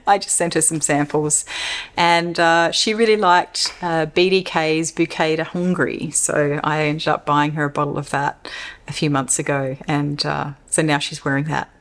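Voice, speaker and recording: Low-voiced Australian woman chatting at length. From Western Australia. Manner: rambling, chatty, self-interrupting with restarts. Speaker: perfume YouTuber. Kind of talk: casual Q&A vlog talk.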